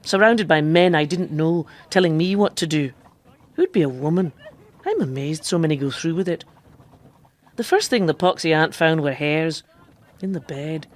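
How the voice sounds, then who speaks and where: An actress playing a defiant, cheeky woman quack doctor who lives as a man, with wry, knowing delivery in a radio drama. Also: deep voice. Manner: wry, knowing storytelling, quick retorts. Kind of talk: radio drama acting and first-person narration.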